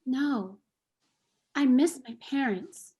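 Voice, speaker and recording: A woman's voice: sits high, slow pace, warm tone. A woman playing a hurt, stubborn young immigrant girl in a play table read, plaintive and insistent. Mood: defensive, sad.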